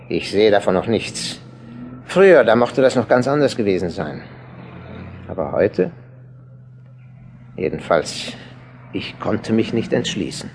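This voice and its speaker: A man playing an earnest, sceptical passer-by in a German radio play, arguing deliberately and with emphasis. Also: medium pitch, gravelly, a little mellow, slight background noise, heavily acted. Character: earnest male passer-by, sceptical seeker outside the church.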